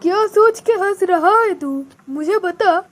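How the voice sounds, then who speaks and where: A woman's voice: very high voice, lively intonation, takes it slowly, a little hiss or hum, pitched above the performer's own voice, heavily acted. A woman voicing a blunt young male college student who scolds his best friend in a cartoon story. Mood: annoyed.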